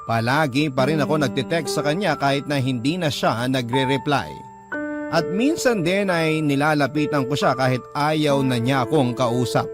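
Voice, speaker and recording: Young male letter-writer narrating his romance, played by a man: confiding, reflective, music clearly underneath. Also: mid-range voice, lightly acted. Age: young adult. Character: young man confiding his lost love story to radio host. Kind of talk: scripted first-person drama narration.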